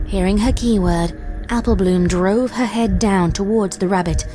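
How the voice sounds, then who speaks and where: A woman reading a dark fanfic aloud in a measured, deliberate storytelling manner. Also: mid-range voice, music in the background. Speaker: fanfic reader. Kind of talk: dramatic story narration.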